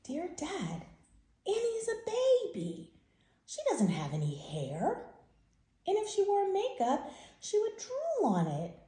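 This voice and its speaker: An indignant little boy played by a woman reader airs his grievances in a storybook letter. The delivery is dramatic and mock-formal, swooping high on key words, with a mellow tone in a roomy space. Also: huge pitch swings, slow pace, exaggerated voice. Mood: exasperated, upset. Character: indignant young boy writing complaint letters to his dad.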